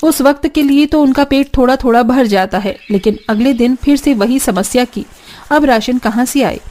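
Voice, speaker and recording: A woman's voice: high-pitched, normal speed, darkish tone. A woman narrating a scripted cartoon story as its storyteller.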